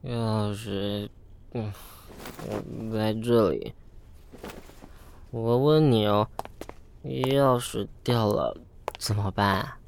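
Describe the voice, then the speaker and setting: An actor in an audio drama playing a drunken, loud, foul-mouthed young male writer who gets childishly needy, slurring his words. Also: on the low side, steady tone, extremely slow, creaky, long pauses, a little hiss or hum, heavily acted. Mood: drunken, playful.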